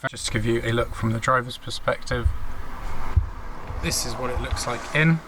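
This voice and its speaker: A man's voice: mid-range voice, fairly even intonation, moderate pace, noisy background. A man giving a casual, explanatory hands-on product review, thinking aloud in an informal way. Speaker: vlogger reviewing and installing car gear.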